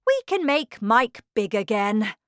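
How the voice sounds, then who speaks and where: A woman voicing an eccentric, excitable male factory owner in a children's storybook, exclaiming with showy delight. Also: fairly high pitch, unhurried, smooth, no room sound, studio quality, voice pushed up. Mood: reassuring.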